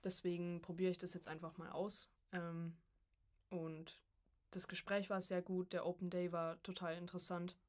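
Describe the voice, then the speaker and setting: Young woman, lowish voice, chatting casually. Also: flat delivery, moderate pace. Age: early 20s. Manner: chatty, informal storytelling. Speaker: podcast guest, voice acting student. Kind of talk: casual conversational interview.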